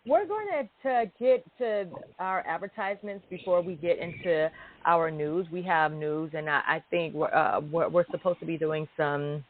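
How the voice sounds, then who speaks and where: A woman's voice: lowish voice, moderate pace. A woman in her forties hosting a casual call-in podcast, chatty and informal, often repeating herself for emphasis. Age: 40s. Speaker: podcast host. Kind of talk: casual call-in show chat. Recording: narrow phone-line sound.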